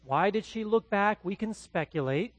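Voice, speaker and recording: A man from the Midwest with a Midwestern accent, preaching a verse-by-verse sermon as pastor, swinging between loud and quietly hushed delivery. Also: high voice, expressive, moderate pace, no echo. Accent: Midwestern American. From American Midwest. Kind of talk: expository sermon.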